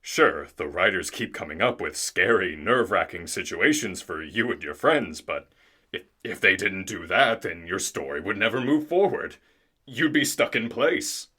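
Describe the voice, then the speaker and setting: A man playing a flustered, theatrical radio drama narrator, halting and dramatic as he pleads. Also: low voice, lively intonation, sharp tone, breathy edge, full character voice. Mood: pleading.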